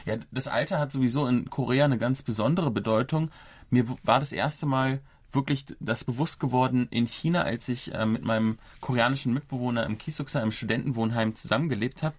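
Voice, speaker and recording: A man's voice: mid-range voice, brisk, no room sound. A man in his thirties from Germany, hosting a casual podcast and explaining things at length with small restarts. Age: 30s. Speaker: podcast host.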